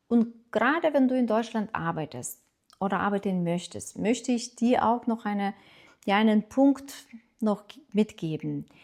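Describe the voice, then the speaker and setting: A woman's voice: a little high, darkish tone. A middle-aged woman from Lithuania with a Lithuanian accent, speaking German as a second language, talking casually and encouragingly to camera as a language teacher, with hesitations and fillers.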